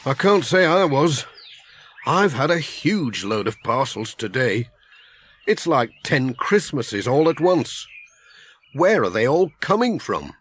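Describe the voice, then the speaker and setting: A man's voice: medium pitch, sing-song, slow, husky, full character voice. A man voicing a friendly, overworked village postman in a children's audiobook, with a Northern English accent and a folksy, grumbling manner. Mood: exasperated.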